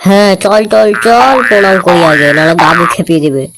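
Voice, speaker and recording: A man's voice: high voice, fairly quick, gravelly. A man playing a sly young village friend, talking colloquially in an amateur comedy cartoon. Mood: matter-of-fact. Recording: loud noise with clicks and bird-like sounds.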